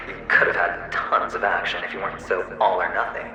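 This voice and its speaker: An actor voicing the mocking, theatrical inner self of a young gambler in a video game, with drawn-out, swaggering delivery and an echo on the voice. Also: male voice, sits low, little pitch movement, bright, forceful tone. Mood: amused taunting. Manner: mocking, drawn-out, sing-song swagger with sighs and chuckles. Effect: echoing reverb on the voice. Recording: echoing game cutscene with music. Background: music.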